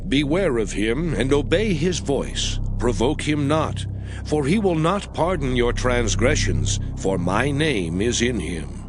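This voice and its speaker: Casting: commanding God for dramatized scripture, grave declamation, highly varied intonation, music underneath. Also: male voice, animated, takes it slowly. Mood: solemn, commanding. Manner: solemn, declamatory, authoritative. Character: God, solemn commanding male deity giving laws. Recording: music bed behind voice.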